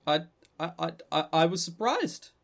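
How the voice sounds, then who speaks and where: A man co-hosting a podcast in casual, joking banter, rambling excitably and often stammering over repeated words. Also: a little high, moderate pace, vocal fry. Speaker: podcast co-host.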